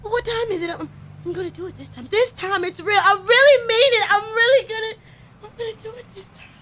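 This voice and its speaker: A woman's voice: very high voice, brisk. A woman acting a distraught, stubborn, frightened woman in an audio horror drama, stammering and repeating herself. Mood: panicked. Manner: stammering, repetitive, swinging between shouts and whispers. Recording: clearly noisy background.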